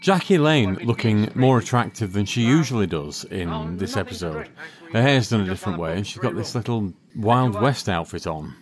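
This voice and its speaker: A man's voice: on the low side, lively intonation, normal speed, crowd noise. A man co-hosting a podcast, giving wry, opinionated commentary as an episode plays. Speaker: podcast co-host. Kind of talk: casual commentary while watching.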